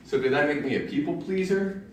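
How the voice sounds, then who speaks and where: Deep voiced young guy doing a funny personal speech, super echoey room, mic far off. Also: brisk, a little hiss or hum. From Ohio, USA. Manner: self-deprecating humor, storytelling with punchlines. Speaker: speaker at a public speaking club. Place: large meeting room in a library. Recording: very echoey room, recorded from afar.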